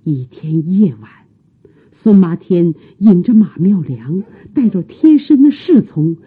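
A woman with a husky voice giving scripted narration for a radio drama. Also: lowish voice, big pitch swings, takes it slowly. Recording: muffled phone-like old radio sound.

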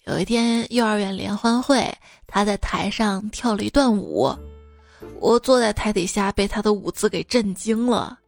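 A woman's voice: medium pitch, normal speed, vocal fry, close to an everyday voice. A woman playing a playful, teasing mother who coaxes a stubborn child in a comic bedtime sketch. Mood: fond, amused. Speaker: storyteller and performer. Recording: music behind the voice.

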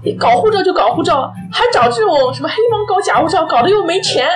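A woman's voice: extremely high pitch, animated, fast. A woman co-hosting a casual film chat, giving opinionated commentary and mixing in English words. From Shanghai, China. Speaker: podcast co-host. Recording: clear music behind the talk. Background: background music.

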